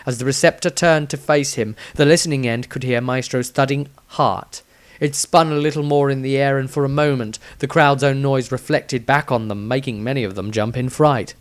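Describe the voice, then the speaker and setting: A man's voice: mid-range voice, fairly even intonation, normal speed, no echo. A man reading a scripted fantasy adventure aloud as a storyteller, with dramatic emphasis. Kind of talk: scripted audiobook narration.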